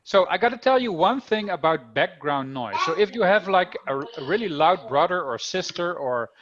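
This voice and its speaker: A man's voice: sits high, lively intonation, normal speed, fairly bright tone, highs cut off. A man from the Netherlands with a Dutch accent, teaching an online coding class to children in a chatty, upbeat way over a video call. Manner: upbeat, chatty, talks to kids directly.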